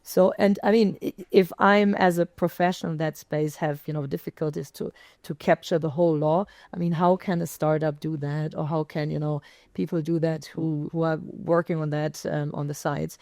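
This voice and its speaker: A woman speaking on a conference panel, explaining points in a measured way with frequent "I mean" and "um". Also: low-pitched, fairly even intonation, a little mellow, no echo, clean studio sound. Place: conference stage.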